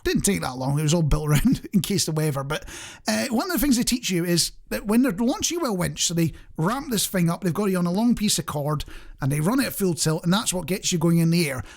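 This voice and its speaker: A man's voice: high-pitched, sing-song, fast talker, gravelly. A man with a British accent presenting a radio show in a casual, rambling, conversational and self-deprecating way.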